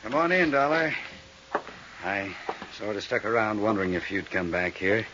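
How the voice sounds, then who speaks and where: Man as earnest businessman, low voice, old radio. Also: moderate pace, close to an everyday voice. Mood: sympathetic. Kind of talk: 1950s radio drama acting. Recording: hissy, muffled 1950s radio recording.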